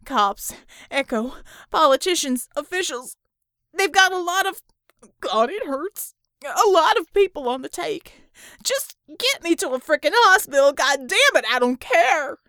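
Woman as wounded male official, cowardly, breathless, pained, high and loud, pleading, audiobook drama. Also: big pitch swings, a little slow, dry recording, studio quality, full character voice. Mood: desperate pleading. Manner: gasping, shouting, pleading. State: in pain, out of breath. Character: wounded, panicked, cowardly American city councilman, male.

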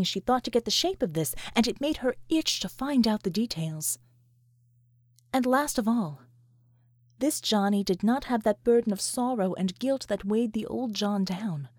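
A woman's voice: on the high side, a little mellow, dry recording, clean studio sound. A woman reading scripted fiction as an audiobook narrator.

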